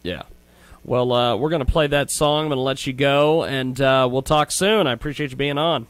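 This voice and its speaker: A man's voice: mid-range voice, moderate pace, fairly bright tone, dry recording. A man hosting a radio show in a casual, conversational style.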